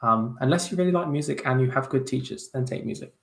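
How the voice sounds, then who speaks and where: A man's voice: medium pitch, fast talker, gravelly. A young man chatting casually as he answers viewer questions on a livestream, self-deprecating, with many fillers and restarts. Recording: roomy echo.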